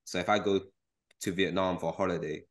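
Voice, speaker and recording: A young man with a London accent talks quickly in a loose, chatty podcast conversation. His voice sits a little low and he tumbles through restarts and fillers. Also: steady tone. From England. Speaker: podcast host. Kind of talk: casual chat.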